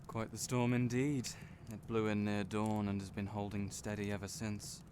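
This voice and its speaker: Guy acting as a friendly young fantasy innkeeper, lowish voice, chatty n teasing, storm and fire noise behind him. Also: little pitch movement, moderate pace, darkish tone, lightly acted. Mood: genial, chatty. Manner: chatty, playful, genial storyteller. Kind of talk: immersive audio roleplay, addressing the listener. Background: storm and crackling fire ambience.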